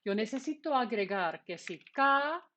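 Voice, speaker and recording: A woman's voice: on the high side, lively intonation, measured pace, sharp tone, highs cut off, a little hiss or hum. Woman teaching coding. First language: Finnish. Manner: explains step by step, asking and answering her own questions. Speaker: university lecturer. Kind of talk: online programming lecture.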